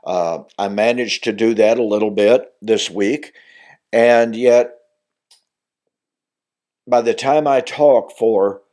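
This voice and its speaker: A man's voice: on the low side, slow, pauses often, mellow. Older man with a strained voice. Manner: earnest, building to emphatic insistence on key points. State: weakened voice recovering from voice loss. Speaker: minister and video teacher. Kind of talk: Bible teaching monologue.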